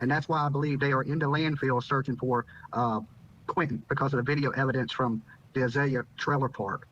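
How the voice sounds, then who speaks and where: Dull lo-fi audio, male guest from Virginia, quick pace, informal repetitive phrasing, live interview. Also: mid-range voice, fairly even intonation, fairly bright tone. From Virginia, United States. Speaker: YouTuber and news guest. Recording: dull, lo-fi remote link.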